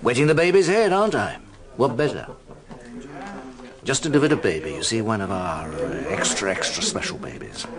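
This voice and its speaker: Tipsy village doctor. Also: male voice, fairly low pitch, lively intonation, moderate pace. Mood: tipsy, jovial. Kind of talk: radio drama acting. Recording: radio play with busy crowd behind.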